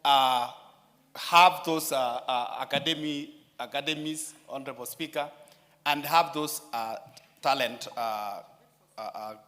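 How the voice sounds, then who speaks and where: A man addressing parliament in a floor speech, often turning to the Speaker and pausing on fillers. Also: medium pitch, pushed and bright. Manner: addresses the Speaker repeatedly, with many filler pauses. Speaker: member of parliament. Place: large parliamentary chamber. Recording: chamber echo with long reverb.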